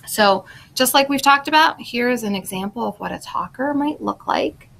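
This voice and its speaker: A woman with a slightly high voice and noticeable vocal fry teaches young listeners in the simple style of a picture book read-aloud. She sounds like a presenter giving a lesson. There is faint noise behind her. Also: lively intonation, moderate pace, fairly bright tone. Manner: simple, instructional wording aimed at young listeners. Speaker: speech language pathologist presenting and reading a children's book.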